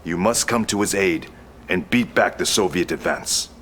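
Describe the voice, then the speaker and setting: A man's voice: low voice, moderate pace, fairly bright tone, a little hiss or hum. A man playing a proud, vengeful Japanese imperial heir and samurai warlord in a video game, speaking in a solemn, formal, commanding way. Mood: grave, urgent. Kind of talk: scripted game cutscene acting.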